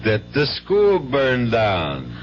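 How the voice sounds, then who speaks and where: An easygoing teasing dad, slow but animated, old muffled radio drama. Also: male voice, medium pitch, a little hiss or hum, exaggerated voice. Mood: teasing, cheerful. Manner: folksy, playful. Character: easygoing, teasing father, a gambler home late. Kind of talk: 1970s radio drama acting. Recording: muffled 1970s radio recording, music behind.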